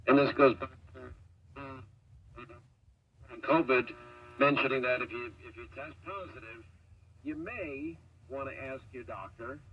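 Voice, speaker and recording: A man's voice: medium pitch, unhurried, pauses often. A man talking informally on a broadcast, heard playing through an old radio speaker. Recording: muffled, noisy old radio playback.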